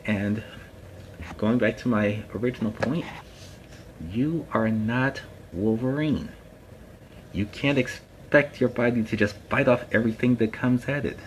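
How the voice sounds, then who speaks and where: A man's voice: medium pitch, a little slow, pauses often, slight background noise, lightly acted, low-quality recording. A man playing a friendly superhero storytime host, talking casually and earnestly to viewers in a plainspoken, emphatic way. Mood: firm, instructive. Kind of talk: casual instructive talk to viewers.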